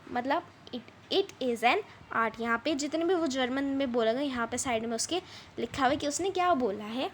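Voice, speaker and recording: A woman with a high-pitched voice teaches an English chapter. She reads the English text slowly and then explains it at length in Hindi, repeating herself. Faint noise sits behind her voice.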